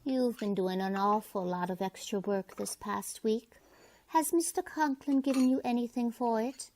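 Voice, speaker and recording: A woman's voice: a little high, normal speed, darkish tone, slight background noise, lightly acted. A woman playing a kindly, chatty landlady in old-time radio comedy, folksy and fussing. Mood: sympathetic. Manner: folksy, fussing over her lodger.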